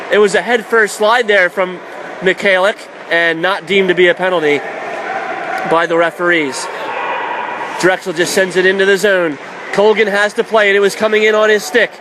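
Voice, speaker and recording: A male hockey announcer with a high-pitched voice gives live radio play-by-play. His calls are clipped and excitable. Loud rink noise runs behind him. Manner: excitable on shots, clipped present-tense calls. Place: indoor ice hockey arena.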